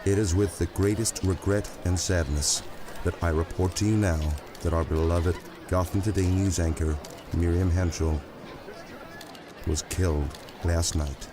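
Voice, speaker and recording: A man's voice: low voice, fairly even intonation, unhurried. An actor playing a solemn male TV anchor giving a scripted live report on location in an audio drama. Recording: clear outdoor city noise behind.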